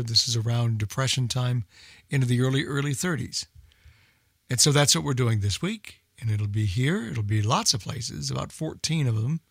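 A man's voice: medium pitch, vocal fry, dry recording. Informal male radio host, dry close sound. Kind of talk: casual unscripted programme announcements.

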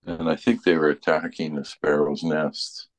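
A middle-aged man with a strikingly low voice talks in a loose, folksy way. He rambles casually as a presenter in informal chat. The sound is dry, with little room to it. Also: little pitch movement, normal speed, no room sound. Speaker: radio show presenter.

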